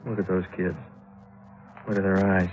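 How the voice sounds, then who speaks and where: A man's voice: low-pitched, monotone, moderate pace, natural voice, poor audio. An actor playing a harried, resentful studio man in a 1950s radio drama, muttering with weary sarcasm. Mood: tense, resentful.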